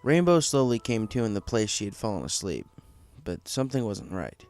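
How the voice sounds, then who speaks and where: A man's voice: fairly low pitch, big pitch swings, fairly quick, a little mellow, faint music behind. A man reading a story aloud as a scripted narrator, in a storybook style. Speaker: fan fiction story reader.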